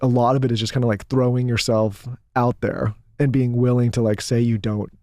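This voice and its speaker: Podcast host, quick and mellow, thinks aloud through long questions in a dry room. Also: male voice, medium pitch, flat delivery, no room sound. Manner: reflective, rambling questions with many fillers. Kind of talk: casual interview talk.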